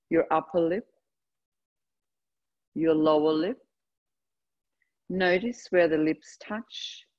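Female meditation guide, lowish voice, very slow and gentle delivery, spacious pauses, slightly muffled recording. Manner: gentle, unhurried, short phrases with long pauses. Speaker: yoga nidra meditation guide.

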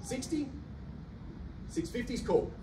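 A man in his thirties with an Australian accent, an auctioneer calling bids in a rhythmic, rapid-fire patter and coaxing buyers in a carpeted function room. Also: very high-pitched, expressive. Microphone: handheld mic, filmed by a far camera. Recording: roomy echo from a distant camera, faint hum.

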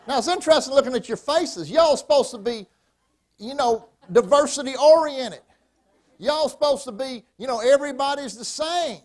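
A man's voice: very high-pitched, normal speed, pitched above the performer's own voice. A man putting on a Texan drawl, teasing an audience in a folksy way.

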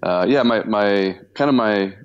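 A man in his fifties from the US Pacific Northwest, a business owner speaking in a halting, self-deprecating way with many fillers, calling in from his workshop. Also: fairly low pitch, clean tone, muffled recording. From Washington state, US. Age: 50s. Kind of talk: casual interview talk.

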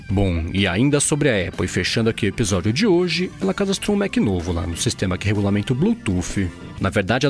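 A Brazilian man hosting a daily tech news podcast, chatty and informal, peppering his reading with little tag words. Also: fairly low pitch. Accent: Brazilian Portuguese. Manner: casual, fillers like "né" and "aí".

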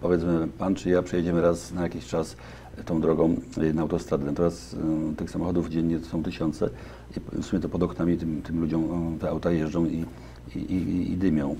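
A man in his fifties, a deputy county official, answering interview questions in a local TV studio on a lapel mic, speaking haltingly with many fillers and restarts. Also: low-pitched, little pitch movement, mellow, slight background noise.

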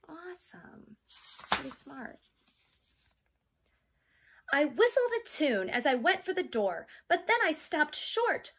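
A woman in her thirties reading expressively to young children, with chatty, questioning asides in a sing-song manner. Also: extremely high pitch. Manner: animated, sing-song, asking the listeners questions. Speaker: children's read-along teacher. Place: small child's bedroom, indoors.

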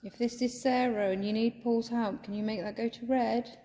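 An English woman on a ghost hunt, coaxing spirits with soft, gentle questions in an old church, filmed on a handheld phone. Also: a little high, fairly even intonation, normal speed. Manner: coaxing, soft, often drops to a whisper. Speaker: ghost hunter. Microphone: handheld phone camera. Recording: echoey church with long reverb.

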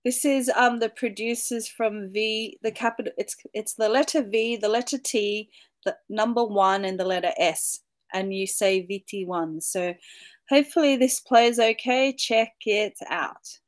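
A woman's voice: mid-range voice, a little slow. A woman in her forties hosting a casual online interview show from a room at home over a video call. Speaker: host and interviewer.

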